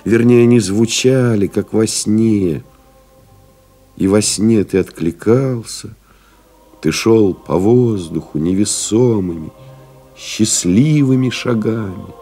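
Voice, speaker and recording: A loyal friend narrating solemnly, deep voice, measured and rhetorical, literary radio drama. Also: male voice, warm tone, faint music behind. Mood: hypnotic, intense. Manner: grave, measured, rhetorical, building in intensity. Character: pilot's devoted male friend, solemnly retelling his ordeal.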